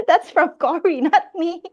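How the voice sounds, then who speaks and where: A woman's voice: extremely high pitch, takes it slowly. A Filipino woman hosting a casual live Q&A, reading out viewer questions and laughing often. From Philippines. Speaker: host of a live Q&A.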